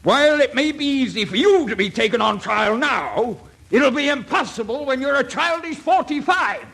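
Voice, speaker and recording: A man's voice: extremely high pitch, expressive, moderate pace, creaky, fairly bright tone, slightly rough, full character voice. An actor playing an exasperated middle-aged father, dry, pompous and sarcastic in a radio comedy. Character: exasperated, lecturing middle-class English father.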